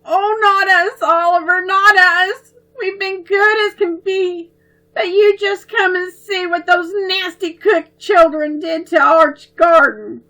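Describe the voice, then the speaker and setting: Storybook little girl, high, pleading, near tears, read by a woman, bright tone. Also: fairly even intonation, slow pace, heavily acted, poor audio. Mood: upset.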